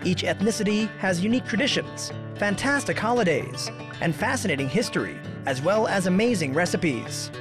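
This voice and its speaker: A narrator reading a scripted show opening in a smooth, promotional way. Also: mid-range voice, lively intonation, moderate pace. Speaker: intro narrator. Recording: clear guitar music under the voice.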